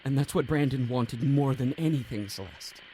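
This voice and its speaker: An actor playing a grieving, guilt-ridden man in an audio drama, speaking in a halting, hushed, earnest way with a husky voice. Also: medium pitch, slight background noise, natural voice, rough recording. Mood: grieving, gentle.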